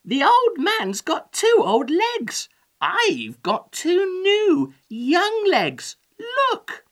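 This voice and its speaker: A woman's voice: extremely high pitch, huge pitch swings, drawn-out words, a bit bright, pitched above the performer's own voice, full character voice. A woman voicing a cheeky little gingerbread man, gleeful and taunting in a singsong way.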